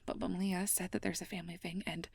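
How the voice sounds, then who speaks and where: A woman playing a stressed, self-blaming young air mage who confides haltingly and half-whispered in an intimate ASMR role-play. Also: on the low side, little pitch movement, vocal fry, breathy edge, no room sound, full character voice. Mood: frustrated, nervous.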